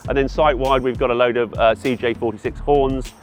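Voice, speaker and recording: A man's voice: mid-range voice, steady tone, fairly quick, soft background music. A middle-aged man giving informal, explanatory answers in an interview about his trade. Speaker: event PA hire company representative, interviewee.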